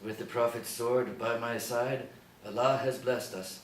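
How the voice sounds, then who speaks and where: A man's voice: mid-range voice, steady tone, a little slow, natural voice. Echoey, fervent actor as a boastful militant man. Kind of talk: screenplay table read. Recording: echoey room, distant mic, faint noise.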